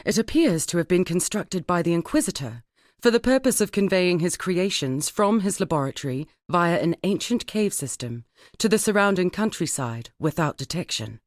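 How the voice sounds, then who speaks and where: A woman playing an earnest, formal woman scholar in a dark fantasy video game, speaking in a measured way. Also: lowish voice, lively intonation, dry recording, studio quality, close to an everyday voice. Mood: earnest, informative. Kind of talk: scripted dramatic game dialogue.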